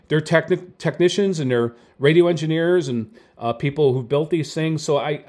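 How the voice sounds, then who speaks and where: A man's voice: a little high, darkish tone. Male hobbyist host, mature, unscripted and conversational, tentative delivery that often doubles back to correct itself. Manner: halting, self-correcting, tentative. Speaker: video host, radio hobbyist. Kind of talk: casual product talk, unscripted explaining.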